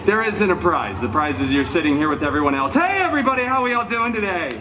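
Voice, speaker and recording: A man's voice: high-pitched, sing-song, fast talker, noisy background. A man playing a wisecracking, irreverent superhero who tells a story live, with sarcastic, theatrical delivery and self-mocking asides. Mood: playful. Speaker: storyteller at a theme park show. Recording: live show audio, music and crowd behind.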